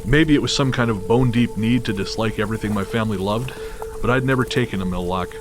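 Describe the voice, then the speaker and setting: A man narrating a scripted first-person horror story in a measured, literary storytelling manner. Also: lowish voice, music in the background.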